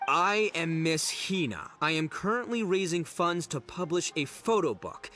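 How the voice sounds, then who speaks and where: Brash, animated young gang boss. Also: male voice, a little high, measured pace. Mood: declaiming. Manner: blustering, bumbling, theatrical. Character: brash, dim, big-hearted young male gang boss, hamming as policeman. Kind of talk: video game voice acting.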